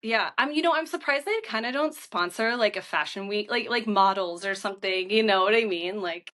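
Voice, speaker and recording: A woman's voice: medium pitch, animated, brisk, a bit bright. A young woman hosting a podcast in casual chat, bubbly and informal, peppering her talk with "like". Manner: bubbly, informal, heavy "like" filler. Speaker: podcast host, interviewer.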